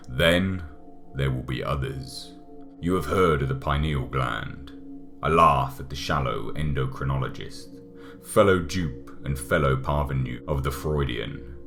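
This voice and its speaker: A man voicing an obsessed, menacing mad scientist in a gothic horror audiobook, intense, deliberate and grandiose. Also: very deep voice, creaky, full character voice. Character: obsessed, menacing male mad scientist, gloating over forbidden discovery. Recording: music under the voice.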